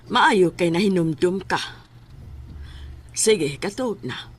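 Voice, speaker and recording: A woman's voice: on the low side, expressive, husky, full character voice. A woman playing a grave, secretive mother in a horror audio drama, drawing out her words. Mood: calm, curt. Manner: slow, drawn-out delivery.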